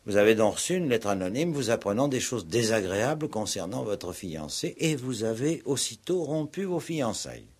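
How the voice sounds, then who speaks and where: An actor playing a probing, insistent, ironic police commissioner in a 1960s French radio play. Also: male voice, fairly low pitch, big pitch swings, normal speed, hardly any pauses, darkish tone, slightly rough. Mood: probing, formal. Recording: old 1960s radio recording.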